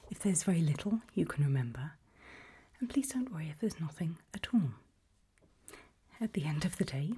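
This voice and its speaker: Slow, whispering woman playing a reassuring doctor. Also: low voice, mellow, full character voice. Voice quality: breathy whisper. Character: calm reassuring female doctor running a creepy memory test. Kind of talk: ASMR roleplay, whispered.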